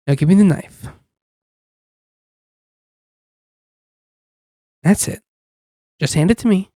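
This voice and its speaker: Smug demon contract-dealer, acted by an amateur performer, mid-pitched, dry intimate ASMR delivery, teasing and in control. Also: a little mellow, no echo. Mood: amused, condescending. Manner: teasing, coaxing, unhurried with lingering pauses. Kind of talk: ASMR roleplay, intimate scripted monologue.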